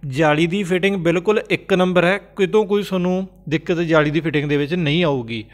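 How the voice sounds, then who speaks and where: A man with a Punjabi accent, host of a farm machinery sales channel, reads sale listings in a voice-over with brisk salesman patter and repeats prices and phone numbers. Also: on the high side.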